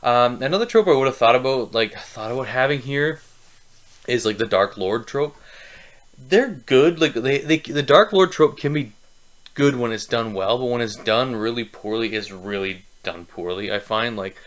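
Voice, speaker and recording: A youngish man with a mid-pitched voice talks casually to his viewers. He rambles through his opinions, bluntly and with a joking edge, like a relaxed video host. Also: moderate pace. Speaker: book review video host. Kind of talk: casual opinionated vlog chat.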